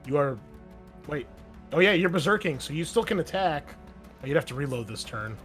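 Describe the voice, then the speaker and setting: A man's voice: on the high side, animated, fairly quick, a bit bright, faint music behind. Chatty young man running a tabletop game. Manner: loose and chatty, many ums and uhs, swears casually. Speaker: game master. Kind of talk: narrating a tabletop game over voice chat.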